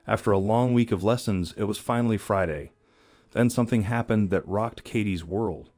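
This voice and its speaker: A man's voice: lowish voice, a little mellow, no echo. A man reading scripted story narration in a dramatic storyteller manner.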